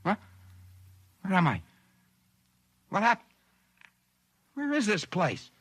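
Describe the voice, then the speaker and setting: High-pitched, frantic, bewildered everyman. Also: wildly swooping pitch, slow, fairly bright tone, slightly rough, full character voice. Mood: bewildered, alarmed. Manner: agitated, stammering, incredulous. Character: bewildered, protesting broke everyman facing an endless debt. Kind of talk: 1950s radio drama acting. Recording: 1950s radio broadcast recording.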